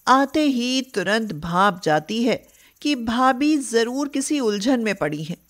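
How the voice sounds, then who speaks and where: A woman storyteller reading a scripted narration for an animated tale. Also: fairly high pitch, slow pace.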